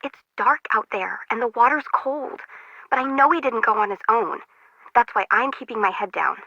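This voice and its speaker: A woman's voice: fairly high pitch, normal speed, pushed and bright, dry recording, dull audio. A woman voicing a quirky, anxious young intern who works as a radio operator in a video game, with slangy, dry, jokey delivery. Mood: scared, shaken. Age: young adult. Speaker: harbor control tower operator.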